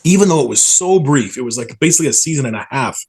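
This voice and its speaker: A man's voice: medium pitch, lively intonation, fast talker, darkish tone, slightly rough. Man reminiscing with enthusiasm. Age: 40s. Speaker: stadium PA announcer, interview guest. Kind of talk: casual storytelling in an interview.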